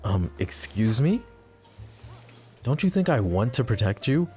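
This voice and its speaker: A man's voice: mid-range voice, very animated, a little slow, warm tone, soft background music. A man playing a flirty, possessive mafia boss in an ASMR roleplay, talking in an intimate, teasing, casual way straight to his lover. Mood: heated, defensive. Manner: intimate, teasing, casual, addressing the listener directly.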